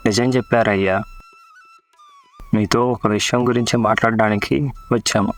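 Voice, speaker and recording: Male actor as a traditional village uncle, low pitch, flat delivery, sensible, firm, cartoon story. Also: moderate pace, faint music behind, natural voice. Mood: earnest. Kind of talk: Telugu cartoon story dialogue.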